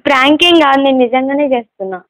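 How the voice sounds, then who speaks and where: A woman's voice: high-pitched, expressive, rapid-fire, a bit bright, dry recording. A woman prank caller chatting casually on the phone in a coy, insistent, teasing way. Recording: slightly dull, dry phone call audio.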